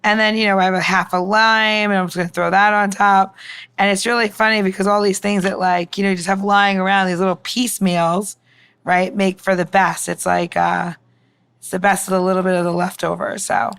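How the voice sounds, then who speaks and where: A woman's voice: medium pitch, level tone, fairly quick, vocal fry, fairly bright tone, smooth. A woman mixologist giving a casual, informal demonstration, chatting and explaining as she goes. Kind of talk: casual cooking-style demonstration.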